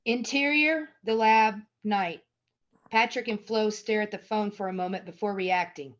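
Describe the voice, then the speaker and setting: Woman to narrate screenplay directions, medium pitch, on somewhat dull audio. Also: moderate pace. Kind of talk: scripted narration.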